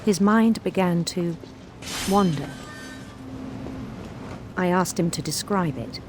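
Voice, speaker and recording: Low-voiced, measured female psychiatrist. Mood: measured, sympathetic. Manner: measured, formal, deliberate. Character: measured psychiatrist writing to a grieving mother. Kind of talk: scripted game narration, letter read aloud. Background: music.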